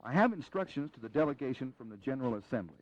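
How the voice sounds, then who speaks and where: A man's voice: mid-range voice, expressive, dry recording, highs cut off, lightly acted. An actor playing an earnest, diplomatic delegate in his forties, speaking formally in an audio drama. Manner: formal, measured wording.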